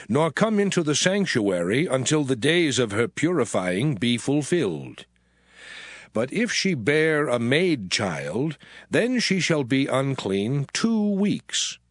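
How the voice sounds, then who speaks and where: A man's voice: mid-range voice, dry recording, studio quality. A man playing a grave lawgiver in a dramatized scripture reading, declaiming formally and solemnly.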